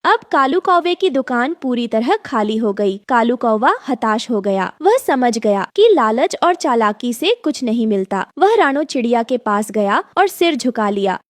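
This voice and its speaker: Casting: woman storyteller for a moral cartoon tale, slightly high voice, no room echo. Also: very animated, unhurried, few pauses, clean studio sound. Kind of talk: scripted moral-story narration.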